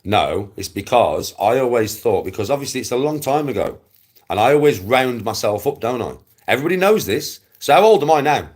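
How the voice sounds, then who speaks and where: A man's voice: medium pitch, big pitch swings, fairly quick, darkish tone. A man in his forties with an English accent, ranting casually on a livestream, bluntly and with plenty of swearing, hammering points home by repeating them. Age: 40s. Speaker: live streamer.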